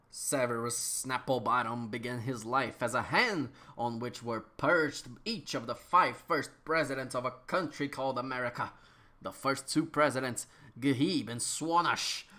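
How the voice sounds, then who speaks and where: A man narrating an absurd comic story as a dramatic reading, with theatrical, drawn-out delivery and mock gravity. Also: mid-range voice, sharp tone.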